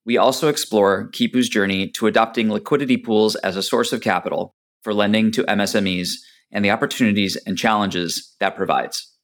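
A man hosting a podcast, reading a measured introduction and then asking friendly questions that stumble a little when he improvises. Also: fairly low pitch, steady tone, a bit bright. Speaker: podcast host. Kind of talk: scripted intro and interview questions.

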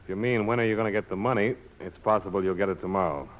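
An actor playing a cool, domineering adventurer, wry and terse, in a 1940s radio drama. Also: male voice, fairly low pitch, very fast, natural voice, low-quality recording. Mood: wry, guarded. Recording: vintage radio broadcast.

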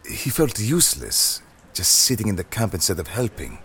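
A man voicing a soft-spoken older man recounting a painful family history. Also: lowish voice, sing-song, normal speed. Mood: somber.

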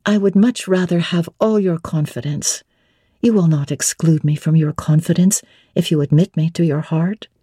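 A woman's voice: sits low, normal speed, mellow, breathy edge, no room sound, clean studio sound. A woman playing a spirited, frank young bride-to-be with a playful, challenging manner in a dramatic novel reading.

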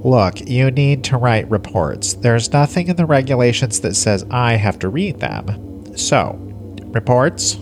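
A man's voice: mid-range voice, expressive, unhurried, music in the background. A man playing a lazy older alien starship commander, pompous yet casually indifferent, in a comic sci-fi audio play. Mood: breezy, evasive. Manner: pompous log-keeping sliding into casual indifference.

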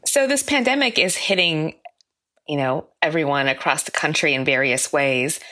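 A woman reporter with a strikingly low voice walks through her findings in a measured, explanatory way. Her delivery is steady and informative, an interview answer.

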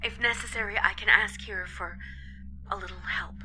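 A woman playing an anxious, cautious character in an audio drama, hushed and hesitant, with ambient noise behind her. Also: medium pitch, steady tone, normal speed. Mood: worried, guarded. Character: anxious, cautious woman confiding a secret worry. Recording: noisy background ambience.

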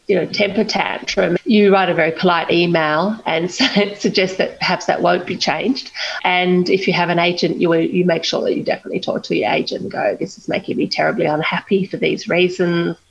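Gravelly, chatty woman. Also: fairly low pitch, lo-fi sound. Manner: chatty, self-deprecating, laughs often. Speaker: novelist guest. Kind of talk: candid conversational interview.

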